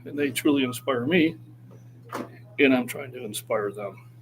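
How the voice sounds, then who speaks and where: A man's voice: medium pitch, a little slow, noisy background. A man in his sixties, a fitness coach talking casually on a livestream and urging viewers to keep active. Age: 60s. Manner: encouraging, motivational.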